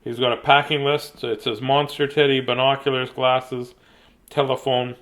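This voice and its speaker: A man in his fifties reads children's bedtime picture books in an animated, playful, childlike way, with chatty asides. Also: mid-range voice, normal speed. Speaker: children's storyteller. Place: small decorated room indoors.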